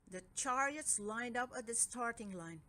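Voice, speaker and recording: Unhurried woman reading a children's storybook. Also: fairly high pitch, lively intonation, pushed and bright. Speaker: storybook reader. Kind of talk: read-aloud children's story narration.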